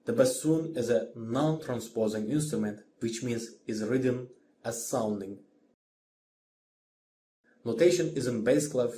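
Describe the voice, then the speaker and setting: Mellow male lecturer, scripted lesson. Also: mid-range voice, a little hiss or hum. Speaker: music orchestration lecturer. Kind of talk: scripted instructional narration.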